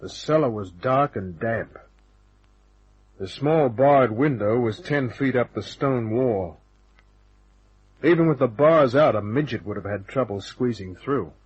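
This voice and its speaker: A man's voice: mid-range voice, animated, unhurried, slightly rough. An actor playing a wry, tough private detective in a radio drama, wisecracking and hard-boiled, who also narrates his case. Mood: hard-boiled narration. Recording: dull, band-limited old radio recording.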